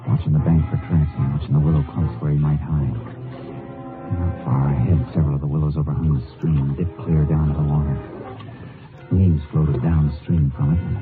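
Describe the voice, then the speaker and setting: A very deep-voiced, stoic Texas Ranger in a vintage radio western. He speaks in terse, weary lines, with prominent music behind a thin, phone-like old broadcast recording. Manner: terse, laconic. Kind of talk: 1950s radio western acting and narration.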